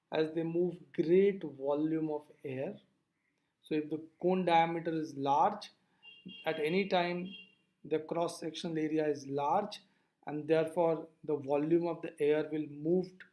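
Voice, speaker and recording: A lecturer explaining physics over slides in a deliberate, didactic way, posing questions and then answering them. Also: mid-range voice, a little mellow.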